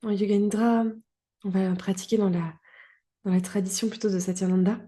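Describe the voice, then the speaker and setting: A woman leads a guided yoga relaxation in a dark, relaxed voice. Her pitch stays very even and sits in a middle register. She speaks calmly and soothingly, leaving long pauses between short phrases. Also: husky.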